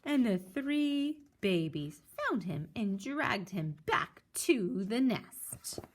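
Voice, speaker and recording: A woman reading a children's picture book aloud in an animated storytelling style. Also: mid-range voice, slow pace, slight background noise. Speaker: story reader.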